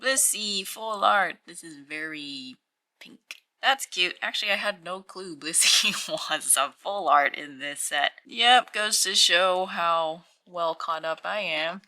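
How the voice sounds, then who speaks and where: A woman with a croaky morning voice, casually talking to herself while unboxing at a tabletop and reading out numbers. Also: fairly low pitch, sharp tone. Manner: slow, musing, reads out weights. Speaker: channel host opening card packs. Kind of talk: casual hands-only unboxing commentary. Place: indoors at a tabletop.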